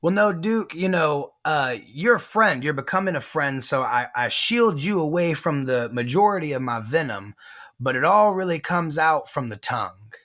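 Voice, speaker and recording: A man's voice: a little high, expressive, moderate pace, fairly bright tone. A man from Texas, a pro wrestler guest, talking in a boastful, menacing trash-talk promo style. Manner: boastful, menacing, heel persona.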